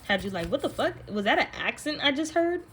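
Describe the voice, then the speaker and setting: A woman's voice: high voice, sing-song, a little fast, fairly bright tone, slight background noise. A woman giving a casual spiritual card reading, speaking directly to the listener in an emphatic, slangy, profane way. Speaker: tarot card reader, channel host.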